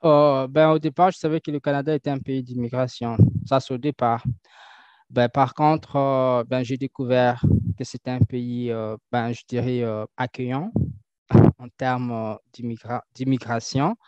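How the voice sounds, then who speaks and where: A man answering interview questions and explaining things, often pausing with fillers and restarting his sentences. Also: mid-range voice, flat delivery, darkish tone, clean tone, no room sound. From French-speaking country. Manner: hesitant, many fillers and restarts. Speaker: guest. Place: Toronto. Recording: dull lo-fi dry sound.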